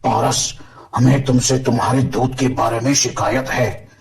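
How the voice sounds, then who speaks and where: A man's voice: mid-range voice, fairly even intonation, a little slow, creaky, recorded from a distance. A man voicing an aggrieved villager.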